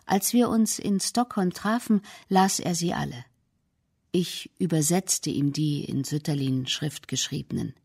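A woman narrating a documentary in a measured, factual way. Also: low voice, gravelly, a little mellow, slightly rough.

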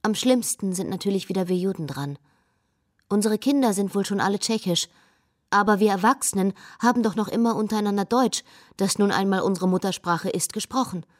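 A woman reading aloud the letters of a loving mother writing to her son far away, in a gentle, earnest tone. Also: medium pitch, a little fast, lightly acted. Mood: grave.

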